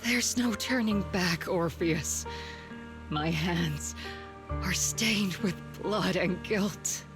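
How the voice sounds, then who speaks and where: A grieving woman weighed down by guilt in a game cutscene. She speaks extremely slowly and solemnly in a slightly low register. Dramatic music plays clearly behind her. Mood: grave, resolute. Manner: slow, solemn, halting delivery. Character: sorrowful, guilt-ridden woman facing her end.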